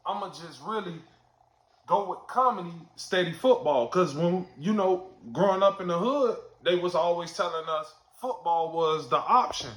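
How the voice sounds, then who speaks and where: Bright-voiced man hosting, in an echoey room. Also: sits high, normal speed. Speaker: interview host. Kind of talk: casual interview.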